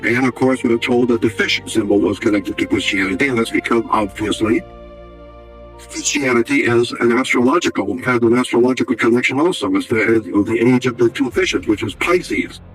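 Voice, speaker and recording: Assertive man lecturing, mid-pitched voice. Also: moderate pace, faint music behind, rough recording. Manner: assertive, didactic, restates key words. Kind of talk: explanatory lecture on religious history.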